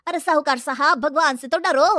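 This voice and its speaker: A woman's voice: sits high, a little fast, sharp tone, dry recording, clean studio sound. A woman voicing a nosy village customer who questions and complains in a moral story. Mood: protesting. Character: nosy, protesting village customer of a food cart. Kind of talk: animated moral-story character dialogue.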